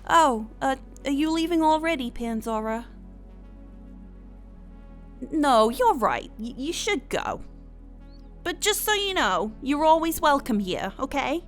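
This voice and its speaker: A woman's voice: sits high, animated, takes it slowly, pauses often, faint music behind. A woman playing an affectionate, easygoing auntie goddess with a casual, soothing manner in an audio drama. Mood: gentle, affectionate.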